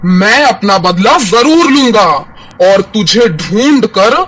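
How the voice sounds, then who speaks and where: A man acts out a vengeful cartoon demon with a high, forceful voice. He is boastful and menacing, drawing out his threats slowly over clear background music. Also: expressive, exaggerated voice. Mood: menacing, gloating. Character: vengeful male demon who threatens to devour people. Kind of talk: cartoon villain acting.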